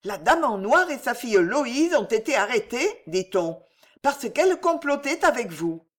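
A woman's voice: a little high, sing-song, measured pace, voice pushed up. A woman voicing a flustered, devout old gossip in an audiobook story, voluble and protesting. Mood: confiding. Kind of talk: storybook character acting.

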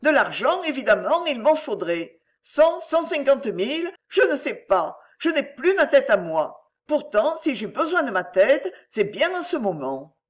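A woman's voice: high-pitched, very animated, measured pace, few pauses, voice pushed up. A woman voicing a self-pitying, scheming middle-aged mother in an audiobook, plaintive, melodramatic and wheedling. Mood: tearful, wheedling. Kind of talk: acted audiobook dialogue.

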